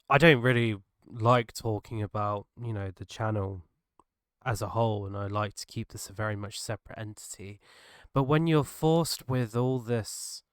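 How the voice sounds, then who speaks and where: A British man hosting his own podcast, talking earnestly and haltingly, his voice gravelly from a cold. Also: medium pitch, a bit bright, no room sound, clean studio sound. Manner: earnest, halting, restarts mid-thought. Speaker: podcast host. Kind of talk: solo reflective podcast monologue.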